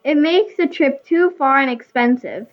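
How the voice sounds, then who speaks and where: A woman's voice: high voice, lively intonation, normal speed. A woman playing a practical, cautious court advisor in an amateur audio play. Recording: dull lo-fi home recording.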